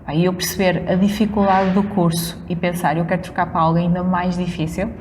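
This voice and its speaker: A woman's voice: on the low side, little pitch movement, a little fast, a little mellow. A woman in her thirties from northern Portugal with a northern Portuguese accent, chatting casually and laughing often as a guest. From Valongo, northern Portugal. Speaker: guest dentist. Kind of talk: casual conversation. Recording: long reverb, faint noise.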